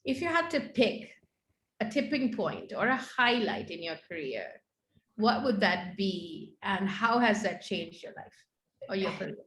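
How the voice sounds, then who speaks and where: A woman's voice: medium pitch, big pitch swings, measured pace, a bit bright, reverberant, slight background noise, low-quality recording. A woman hosting an online panel interview, asking questions and affirming her guest in an encouraging way. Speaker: host, interviewer.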